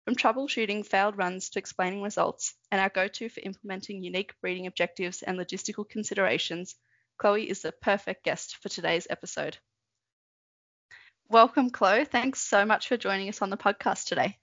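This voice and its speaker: Female podcast host, even scripted delivery, dry and slightly dull sound. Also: medium pitch, fairly quick, dry recording. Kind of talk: scripted podcast intro and interviewing.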